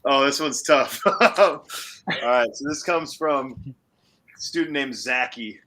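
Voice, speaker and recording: A man's voice: medium pitch, slow, pushed and bright. A man chatting casually and jokingly as a game show contestant, on slightly noisy audio. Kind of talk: casual comedic chat. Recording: clearly noisy audio with clicks.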